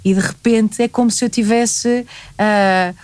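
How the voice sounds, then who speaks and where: A woman's voice: fairly high pitch, a little slow, no echo. A young woman with a European Portuguese accent, a singer-songwriter talking animatedly as a guest in an interview. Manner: lively, animated storytelling. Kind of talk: conversational interview.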